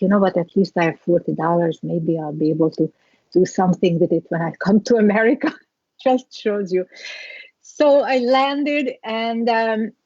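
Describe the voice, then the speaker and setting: A woman's voice: lowish voice, a little mellow, no room sound, dull audio. Hungarian-accented woman telling her story. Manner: reflective, halting with many restarts, laughs lightly. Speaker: guest, skincare founder. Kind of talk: interview storytelling.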